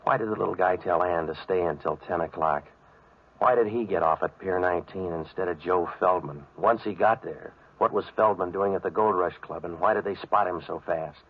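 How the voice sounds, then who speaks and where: An American actor playing a wry, hard-boiled troubleshooter in a 1940s radio noir, with deadpan, sardonic delivery. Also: male voice, low-pitched, a little fast, breathy edge. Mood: world-weary. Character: wry, hard-boiled male private troubleshooter, narrating his case. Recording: dull old radio recording.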